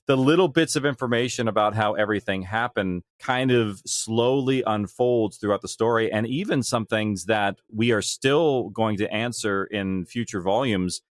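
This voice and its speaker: A man of average pitch gives a conversational interview as a storyteller. He explains things and slips into imagined quotes, recorded close and dry. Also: no echo. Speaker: podcast guest, audio drama creator.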